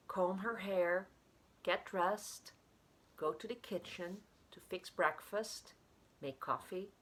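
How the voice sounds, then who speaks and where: A woman reading her own literary short story aloud in an expressive way. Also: fairly low pitch, breathy edge.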